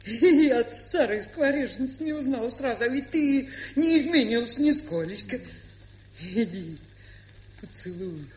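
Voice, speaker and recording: A woman's voice: a little high, animated, unhurried. An actress playing a bossy, affectionate old provincial aunt in a dramatised story, chatty and folksy in her scolding. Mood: surprised, joyful. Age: older. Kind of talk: dramatised short story, stage-style audio acting. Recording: hissy, crackly vintage 1970s record.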